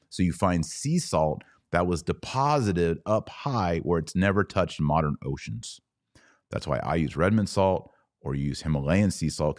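A man's voice: rumbling low, a little mellow, dry recording, studio quality. A man speaking as a podcast host in an informal, emphatic, slangy way, chatting casually and answering live comments. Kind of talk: casual livestream chat answering viewer comments.

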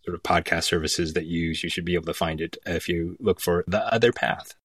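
A man's voice: low-pitched, a little fast, a bit bright, slightly rough, dry recording, clean studio sound. A man giving a conversational interview as a guest, thoughtful and searching for his words. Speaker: podcast guest from a theatre company.

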